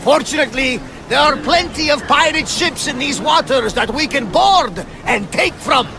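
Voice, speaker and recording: A hearty, pious old Greek ship's captain in a video game. He booms and exclaims in a gravelly voice pushed very high. Clear ambient noise surrounds him. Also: animated, moderate pace, heavily acted. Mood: hearty, untroubled. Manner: booming, exclamatory. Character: hearty, devout, blustering older Greek male sea captain. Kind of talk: dramatic video game dialogue. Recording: noisy outdoor ship ambience behind voice.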